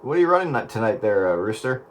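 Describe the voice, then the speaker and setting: A man's voice: fairly low pitch, big pitch swings, fast talker. A man chatting casually as an operator over two-way CB radio. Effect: two-way radio transmission. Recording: faint CB signal in loud static.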